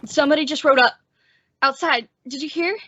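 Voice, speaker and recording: A woman's voice: high voice, fairly quick, pushed and bright, dull audio. A woman playing a timid, bookish young man made sheriff, with a hesitant, stammering, anxious manner, in an old-time western radio drama. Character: timid, bookish young male sheriff, nervous insect collector.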